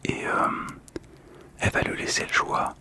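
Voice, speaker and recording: A man whispers throughout in a breathy, unvoiced voice. He speaks softly and calmly, in the style of ASMR storytelling, with small hesitations as he goes. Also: unhurried. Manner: soft, calm, hesitant. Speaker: host of a relaxation video.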